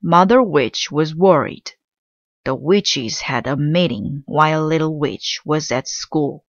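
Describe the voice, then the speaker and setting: Female children's storyteller: lowish pitch, unhurried, expressive narration, dull-sounding close recording. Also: dry recording. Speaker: read-aloud storyteller.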